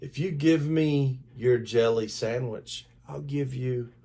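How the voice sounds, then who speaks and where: A man's voice: mid-range voice, slow, vocal fry, dark tone. Man reading as a coaxing young schoolboy. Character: bargaining young schoolboy classmate.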